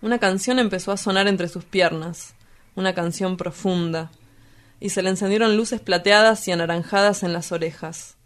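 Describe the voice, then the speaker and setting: A woman's voice: medium pitch, moderate pace, close to an everyday voice. A woman with an Argentine accent playing a brooding, blunt, poetic lover who lives by night, in a radio drama.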